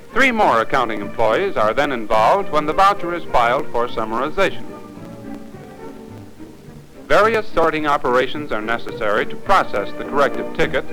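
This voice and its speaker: An American man reading formal, deliberate, instructive narration for a 1950s training film. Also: mid-range voice, a bit bright, low-quality recording. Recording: 1950s film soundtrack, music behind.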